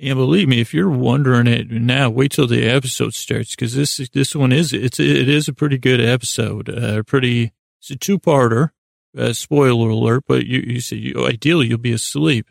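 A man with a gravelly voice hosting a sleep podcast in a rambling, hesitant, self-deprecating monologue that keeps drifting into tangents. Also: mid-range voice, moderate pace, no echo, clean studio sound. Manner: meandering, hesitant, with self-deprecating asides and acted-out imaginary dialogue.

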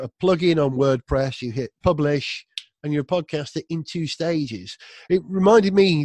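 A man's voice: medium pitch, dry recording. A middle-aged man from Wales with only a faint accent, chatting animatedly as a guest on a video call. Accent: light, not strongly Welsh; often taken as West Midlands. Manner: animated, enthusiastic storyteller. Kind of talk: casual interview chat. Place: home studio in London.

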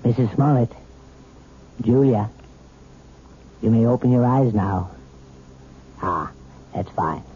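A calm, coaxing hypnotist. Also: male voice, medium pitch, slightly rough. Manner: soft, measured, persuasive. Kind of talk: 1970s radio drama acting. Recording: tinny old radio broadcast with music.

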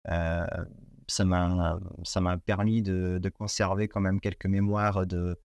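Hesitant Portuguese man, lowish voice. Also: little pitch movement, slow, a little mellow, clear, resonant tone, dry recording, highs cut off. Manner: hesitant, many fillers and restarts. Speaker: guest. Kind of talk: casual interview conversation.

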